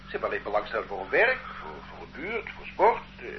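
A man's voice: lowish voice, huge pitch swings, quick pace. An everyday working man being questioned, bewildered and plain; old, muffled radio recording. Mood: defensive. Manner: bewildered, defensive, plain-spoken. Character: ordinary working-class man under arrest. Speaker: suspect. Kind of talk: radio drama acting. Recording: dull lo-fi old radio recording with faint noise.

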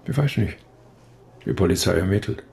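An actor playing an injured, grieving male professor in a radio crime drama, talking haltingly but insistently in a weakened voice. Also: sits low, expressive, vocal fry, a little mellow. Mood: stubborn, lecturing. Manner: halting, hesitant, yet insistent. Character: injured male brain research professor, bereaved, weary, stubbornly convinced.

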